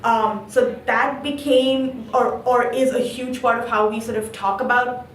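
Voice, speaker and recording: Young woman from India, higher voice, explanatory and articulate; recorded off-mic in a room. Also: steady tone, a little slow. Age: young adult. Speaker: student interviewee. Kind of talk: reflective interview answers. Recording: roomy, distant mic, faint noise.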